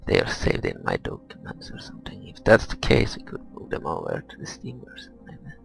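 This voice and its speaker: A man giving casual gameplay commentary, thinking aloud in a hesitant, grumbling, husky and gravelly way. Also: deep voice, huge pitch swings, brisk, in a whisper, soft background music. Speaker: gamer recording gameplay commentary.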